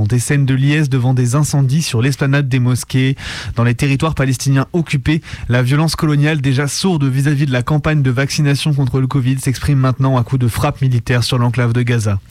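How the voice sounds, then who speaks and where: A man's voice: medium pitch, fairly even intonation, fairly quick, darkish tone, no echo. A man reading a scripted political editorial on the radio, grave and indignant, in clipped phrases. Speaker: radio show presenter.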